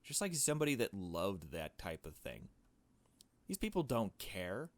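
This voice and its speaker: A man's voice: fairly low pitch, wildly swooping pitch, a little slow, no echo. A man reading a visual novel game aloud and commenting casually, with wry, joking asides. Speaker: gaming video host.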